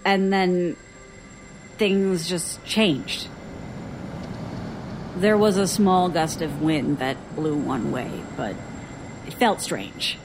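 An actress playing a weary, guarded survivor giving dry, reluctant testimony in an audio drama. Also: female voice, fairly low pitch, drawn-out words, long pauses, a little hiss or hum, rough recording. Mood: uneasy, reflective. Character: guarded, weary woman survivor recounting childhood strangeness.

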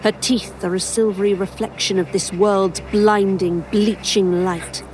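A woman's voice: medium pitch, fairly even intonation, unhurried, a little mellow, natural voice, low-quality recording. Woman acting wry theatrical lady in an audio drama, mid voice, slight background noise. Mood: ominous narration. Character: wry, menacing injured woman narrating her tale.

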